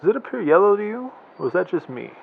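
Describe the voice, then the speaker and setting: An actor playing a calm scientist who explains things in a considered way in an amateur radio drama. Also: male voice, high voice, fast talker, gravelly, husky, heavily acted. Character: measured male scientist on the scene. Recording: dull lo-fi sound.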